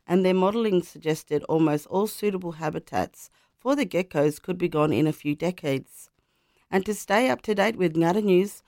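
A woman newsreader delivers a scripted bulletin. Her voice sits on the low side, and the sound is dry and close. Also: darkish tone, no echo. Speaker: radio news reader.

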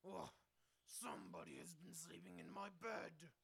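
A big, grumpy father koala from a storybook, with a gravelly growl, as a woman puts him on. He speaks very slowly, swooping widely up and down. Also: lowish voice, sing-song, fairly bright tone, heavily acted. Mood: gruff. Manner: slow and weighty. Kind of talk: storybook read-aloud.

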